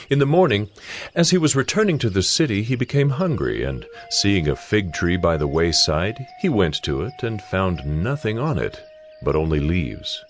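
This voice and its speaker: A man reading scripted narration for a dramatized audiobook. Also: deep voice.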